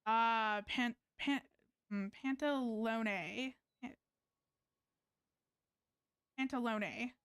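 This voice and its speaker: A woman's voice: fairly high pitch, very slow, pauses often, fairly bright tone, smooth, dry recording, clean studio sound. A young woman from Canada streaming a game, chatting casually with viewers in a rambling, hesitant way full of fillers and restarts. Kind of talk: casual gameplay chat with viewers.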